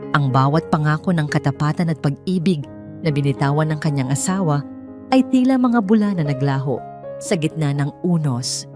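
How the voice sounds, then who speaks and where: Low-voiced Filipino woman narrating a dramatic story.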